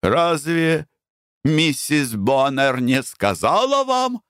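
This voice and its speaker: A man voicing a nervous young gentleman who stammers and speaks slowly and hesitantly. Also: medium pitch, dry recording, studio quality.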